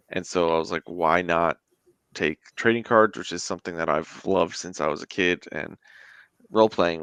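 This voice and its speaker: A man's voice: low voice, a bit bright, clean tone, no room sound. A man speaking as a guest in a casual interview, with a rambling, conversational delivery. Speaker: guest, indie tabletop game creator.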